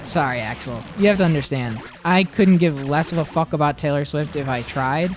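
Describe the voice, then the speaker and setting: A man with a high voice chatting casually on a livestream, blunt and opinionated, swearing freely. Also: a little fast, faint music behind. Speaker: livestream co-host. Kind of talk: casual chat on a gaming stream.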